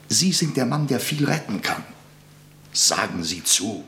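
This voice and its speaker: An actor playing a solemn, humble, naive conservative lawyer and politician in a German radio play, earnest and hesitant. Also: male voice, fairly low pitch, big pitch swings, measured pace, pushed and bright, slight background noise, full character voice. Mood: earnest, pleading.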